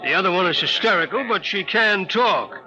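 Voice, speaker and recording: An actor playing a hardened, gruff, matter-of-fact police lieutenant in 1950s radio drama style. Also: male voice, high-pitched, expressive, brisk, sharp tone, muffled recording, full character voice.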